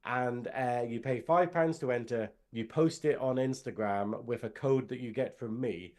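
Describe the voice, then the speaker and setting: A man's voice: mid-range voice, normal speed, darkish tone. A man, co-hosting a casual podcast and rambling in a jokey way with lots of fillers. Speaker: podcast co-host. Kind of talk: casual chat.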